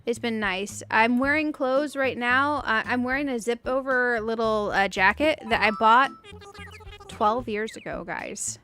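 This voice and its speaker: A woman's voice: fairly high pitch, measured pace, sharp tone. A woman in her late thirties with a youthful-sounding voice, chatting casually and giggly as she hosts a video game livestream. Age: late 30s. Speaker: streamer and video game host. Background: music.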